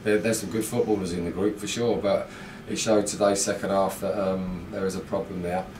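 A middle-aged football manager talks bluntly about a loss, pausing on fillers in a low, flat voice. He is recorded in a small room that rings with echo, heard from a mic set back from him. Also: far from the mic, noisy background. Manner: frustrated, blunt, rambling with fillers. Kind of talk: post-match interview. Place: small indoor club room.

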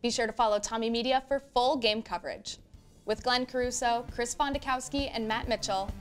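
Young woman host, kinda high voice, upbeat scripted studio intro, soft music under it. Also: steady tone, brisk. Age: young adult. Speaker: student show host. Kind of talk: scripted sports show hosting. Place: small TV studio set at a desk. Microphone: lapel mic.

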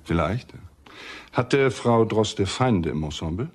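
An actor playing a sober, probing police interrogator in a crime radio drama, terse and matter-of-fact. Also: male voice, lowish voice, lively intonation, takes it slowly, slightly rough, heavily acted.

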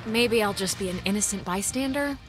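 A woman's voice: medium pitch, brisk, soft background music, natural voice. A woman with an American accent playing a wry, protective teenage girl, speaking casually in a naturalistic video game performance. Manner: dry, sardonic, conversational.

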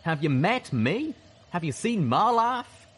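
A man's voice: medium pitch, very animated, quick pace, slight background noise, voice pushed up, close to an everyday voice. A man voicing a wry, exasperated and folksy pegasus gunslinger, battle-worn, in a story reading. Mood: wry, rising anger.

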